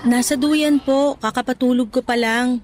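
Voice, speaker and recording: A woman's voice: high-pitched, fairly even intonation, normal speed, a little mellow, soft background music, lightly acted. An actress voicing a young new mother answering her scolding mother politely and defensively, in a radio drama. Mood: pleading. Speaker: actor.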